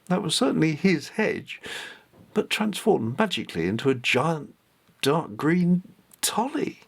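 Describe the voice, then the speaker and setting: A man's voice: fairly high pitch, very animated, a little slow, vocal fry, breathy edge. A man narrating his own written story, reading it aloud in a careful, amateur storyteller's manner. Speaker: author reading own novelette.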